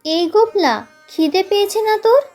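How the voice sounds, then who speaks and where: Woman as twelve-year-old elder brother, high pitch, bossy, impatient, storybook, music behind. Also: expressive, moderate pace, darkish tone. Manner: commanding, impatient with younger brother.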